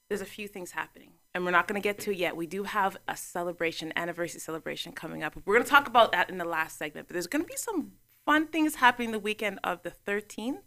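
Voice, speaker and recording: A woman hosting a casual talk show interview, chatty and quick to laugh. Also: mid-range voice, pushed and bright.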